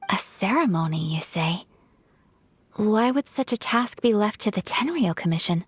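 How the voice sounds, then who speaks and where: A woman voicing a refined, composed young noblewoman in a video game, formal, earnest and polite, with a soft, breathy voice. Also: medium pitch, animated, moderate pace. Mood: composed, thoughtful. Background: background music.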